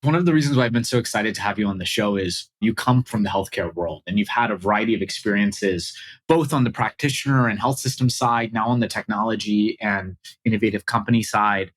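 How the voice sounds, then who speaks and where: A man with a low, rather husky voice co-hosts a podcast. He speaks in prepared interview style, with faint music at times. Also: quick pace. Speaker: podcast co-host.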